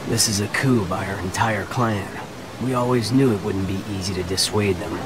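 A man's voice: fairly low pitch, moderate pace, background noise, lightly acted. An actor playing an easygoing, teasing yet resolute young male ninja in an anime dub, casual and earnest. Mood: grave.

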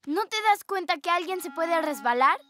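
A woman voicing a bossy, exasperated baby girl in an animated children's cartoon, scolding emphatically with a Latin American Spanish accent. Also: very high voice, moderate pace. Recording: loud children's cartoon music behind.

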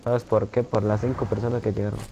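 A man chatting on voice chat with a friend, making mocking, deadpan jabs. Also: on the low side, fast talker, dull audio, noisy background. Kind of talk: casual joking banter.

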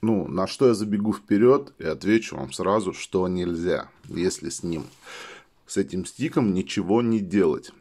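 A man with a slightly hoarse voice from a cold, chatting casually while demonstrating a product at a table indoors and leaning on filler phrases. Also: deep voice, lively intonation, moderate pace, darkish tone. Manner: chatty, keeps saying "let's say" as filler. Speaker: product reviewer. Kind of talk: casual how-to demo.

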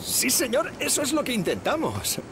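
A man's voice: very high-pitched, huge pitch swings, normal speed, background noise, exaggerated voice. A man voicing a kindly, easygoing young father in a children's cartoon, with a Castilian Spanish accent. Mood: encouraging. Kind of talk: children's cartoon dub acting.

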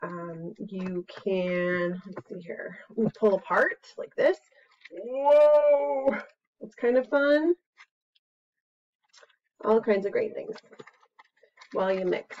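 An American woman around forty chats casually to camera as a preschool teacher demonstrating an activity, in a playful, expressive manner. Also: medium pitch, slow pace, long pauses. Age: 30s to 40s. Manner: playful, expressive, with exclamations and sound words. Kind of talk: casual instructional chat to camera. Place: small plain room at home, indoors. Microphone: computer webcam mic at about arm's length. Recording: dull, lo-fi webcam sound.

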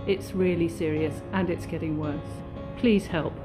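A woman's voice: on the low side, fairly even intonation, moderate pace. Serious middle-aged woman over guitar music. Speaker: community food charity worker. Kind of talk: serious appeal. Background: plucked guitar music.

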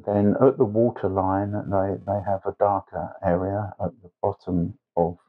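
Male painting instructor, lowish pitch, slow hesitant step-by-step delivery, slightly muffled recording. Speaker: watercolour tutor. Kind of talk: instructional painting tutorial narration.

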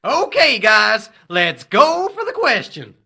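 Excitable quiz show host, showy and bright, voice swoops all over, radio play. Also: male voice, very high-pitched, takes it slowly, gravelly, breathy edge, exaggerated voice. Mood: excited, showy. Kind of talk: audio drama game show presenting.

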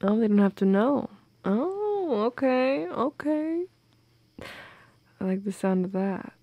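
A young woman in an intimate girlfriend audio roleplay, speaking softly and close to the mic with playful, teasing affection. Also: a little high, very animated, slow pace, no echo, muffled recording. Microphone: close microphone, dry.

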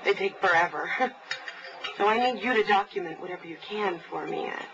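A woman playing a nervous, chatty mother and client in a naturalistic audio drama, halting and apologetic. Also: medium pitch, vocal fry, natural voice. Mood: nervous, hesitant. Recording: lo-fi tape, noisy cafe.

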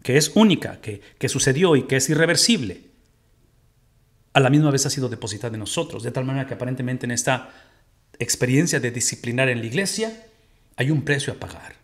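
A man's voice: mid-range voice, brisk. Middle-aged mexican guy teaching a bible study at home, lots of rhetorical questions, desk mic. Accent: Mexican Spanish. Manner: animated, gestures while teaching, asks the audience rhetorical questions.

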